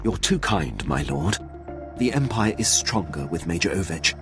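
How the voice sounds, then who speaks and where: A dutiful Imperial officer in a video game. His low voice moves with lively inflection, formal and deferential, with music clearly behind it. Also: moderate pace. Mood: dutiful, pleased. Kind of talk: scripted video game dialogue acting.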